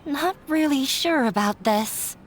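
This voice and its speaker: A woman's voice: sits high, animated, slow, slight background noise. A woman voicing a shy, anxious young woman in a video game, hesitant and timid as she speaks. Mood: unsure.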